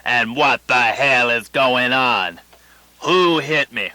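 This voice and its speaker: A man's voice: medium pitch, takes it slowly, pushed and bright, dry recording, poor audio. A man playing a brash, hip rock-and-roll radio DJ in a satirical radio play. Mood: hyped. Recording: faint noise behind, 1990 radio play.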